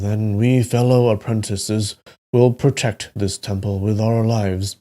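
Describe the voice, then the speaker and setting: A solemn Buddhist abbot from a storybook tale, played by a male narrator, speaks with calm, formal dignity. His voice is mellow and on the low side, humble yet resolute. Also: lightly acted. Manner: plain, calm, formal delivery. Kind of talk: storybook character reading.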